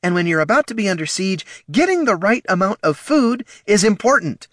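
A man's voice: high-pitched, big pitch swings, moderate pace, pushed and bright, dry recording, studio quality, pitched above the performer's own voice, full character voice. A man voicing a fierce, harried female gnoll mother who snarls and barks orders. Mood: snapping.

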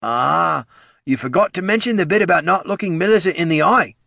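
A man's voice: fairly high pitch, brisk, gravelly, pushed and bright, dry recording, clean studio sound, pitched above the performer's own voice, full character voice. A man voicing a chatty, unbothered male ghoul with a folksy, blithe manner in a fantasy audiobook.

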